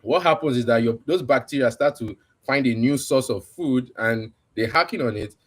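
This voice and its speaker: A man's voice: mid-range voice, normal speed. Male livestream host, mid-range voice, casual, explanatory delivery.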